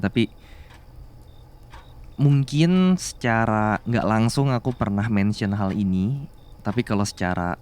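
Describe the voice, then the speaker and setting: A young man with an Indonesian accent playing a shy, adoring office worker in love with his boss, in an intimate ASMR roleplay, speaking haltingly and affectionately. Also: on the low side, smooth, lightly acted. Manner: hesitant, halting, softly affectionate. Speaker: voice actor in an audio roleplay.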